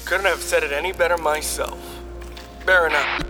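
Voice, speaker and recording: A man's voice: on the high side, steady tone, normal speed, music in the background, lightly acted. A man playing a defiant, reckless rebel field agent with an urgent, intense delivery in a sci-fi radio drama. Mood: relieved, cocky.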